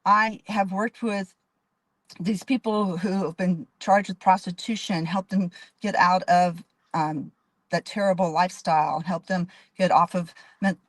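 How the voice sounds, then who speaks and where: A woman in her fifties with a fairly low voice speaks earnestly as a judge in an interview. She lays out her experience in long, detailed answers and often restarts her sentences. The sound is close and dry. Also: steady tone, measured pace, no room sound. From Dallas, Texas. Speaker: judge and candidate.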